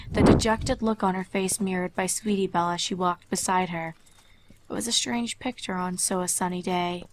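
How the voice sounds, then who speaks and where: A woman reading fan fiction aloud as a scripted story narrator. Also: mid-range voice.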